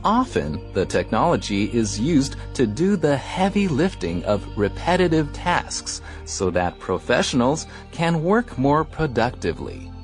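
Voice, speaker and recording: Man, slow lively reading voice, faint music behind. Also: medium pitch. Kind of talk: reading a passage aloud.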